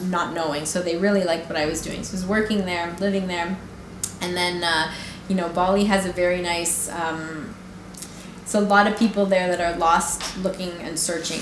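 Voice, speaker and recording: A woman in her thirties from Canada telling a personal story in a casual, halting way, with lots of filler phrases. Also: on the low side. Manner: informal and halting, peppered with "like" and "you know". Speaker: guest giving a personal testimony. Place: indoor room with fireplace, seated in armchair. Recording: roomy echo with faint background noise.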